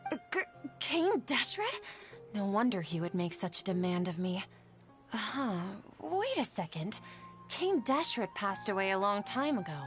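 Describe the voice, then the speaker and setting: A woman's voice: mid-range voice, very animated, unhurried, faint music behind. Earnest, polite young woman. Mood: doubtful, deferential. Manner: polite, hesitant, sincere. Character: earnest, weary young woman from the desert, deferential. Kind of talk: video game voice acting.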